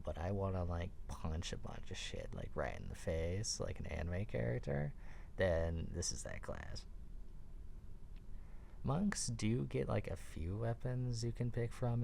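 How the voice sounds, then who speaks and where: A man speaking softly in a casual, rambling, enthusiastic ASMR style, slangy and sweary, sometimes dipping near a whisper. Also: on the low side, slight background noise. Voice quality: soft, breathy delivery dipping near whisper. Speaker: ASMR roleplay host. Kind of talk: soft-spoken ASMR roleplay, casual explaining.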